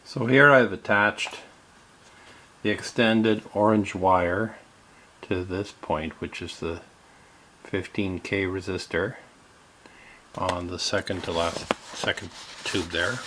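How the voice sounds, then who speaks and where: A man narrating a step-by-step radio repair in a halting way, with many fillers. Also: deep voice, steady tone, bright, forceful tone, a little hiss or hum.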